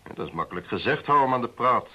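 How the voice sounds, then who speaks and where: A man's voice: medium pitch, expressive, fast, pushed and bright. An actor playing a skeptical, irritable man under threat in a radio play, exasperated and dismissive. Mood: irritated. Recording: dull lo-fi old radio sound.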